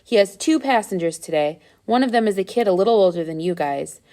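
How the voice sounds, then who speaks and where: A woman's voice: mid-range voice, expressive, brisk, warm tone, close to an everyday voice. A woman voicing a friendly, easygoing man who pilots balloons in a storybook, folksy and reassuring.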